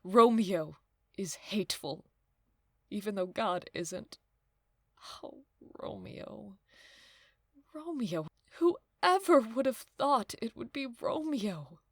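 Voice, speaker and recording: Slow, wailing older nurse played by a woman. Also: mid-range voice, animated, no room sound, studio quality. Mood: horrified. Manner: lamenting, breathy, repeating herself. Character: wailing, overwrought older female nurse, bitter servant. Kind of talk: audio drama acting of modernized Shakespeare.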